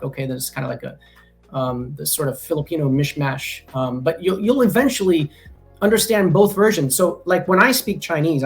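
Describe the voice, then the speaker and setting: A man in a casual podcast conversation, talking at length with frequent ums and restarts. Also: a little high. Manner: rambling, many ums and restarts. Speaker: guest, language company founder. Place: lives in Taiwan.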